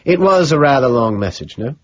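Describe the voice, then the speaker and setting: A man's voice: medium pitch, very animated, brisk, highs cut off, voice pushed up. A man voicing a smug, dismissive, upper-class socialite with an affected, condescending drawl. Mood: breezy, distracted.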